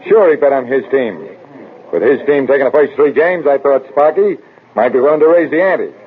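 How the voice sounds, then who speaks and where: An actor playing a defensive, blunt baseball manager with wry, slangy talk in a 1940s radio drama. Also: male voice, medium pitch, brisk, slight background noise, natural voice. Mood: dry, frank. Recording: tinny old radio broadcast.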